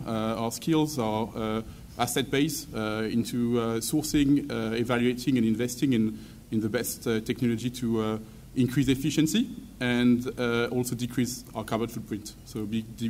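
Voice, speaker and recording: A man introducing his corporate venture team on a conference panel, halting often with fillers. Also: mid-range voice, darkish tone, a little hiss or hum. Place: conference hall.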